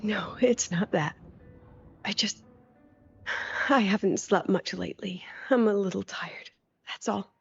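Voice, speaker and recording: A woman voicing a weary, gentle, brooding scientist in a video game, soft, breathy and pensive. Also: mid-range voice, a little slow, pauses often, highs cut off, heavily acted. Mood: tired, evasive. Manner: subdued, pensive. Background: game music.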